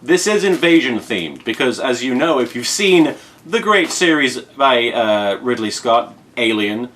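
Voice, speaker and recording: A man's voice: medium pitch, big pitch swings, unhurried, fairly bright tone. British man rambling playfully over background noise. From Britain. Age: 30s. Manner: playful mock-serious rambling with emphatic repetition. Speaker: unboxing video host. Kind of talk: casual vlog unboxing commentary. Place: indoors at home in an apartment. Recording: clear background room noise.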